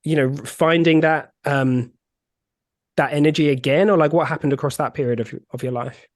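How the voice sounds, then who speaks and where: A man's voice: fairly high pitch, moderate pace, no echo, studio quality. A man hosting a podcast, asking thoughtful, searching questions. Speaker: podcast host. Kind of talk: interview questions.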